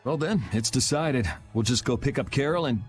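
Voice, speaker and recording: An actor playing a self-assured young adventurer in a video game, with a dry, offhand, decisive delivery. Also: male voice, mid-range voice, normal speed, natural voice. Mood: cool, decisive. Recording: music under the voice.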